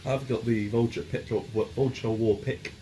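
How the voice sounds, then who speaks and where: A man's voice: medium pitch, fairly even intonation, a little slow. A man chatting casually as a player in a tabletop game session. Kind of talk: casual chat. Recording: clear background noise, in-person session.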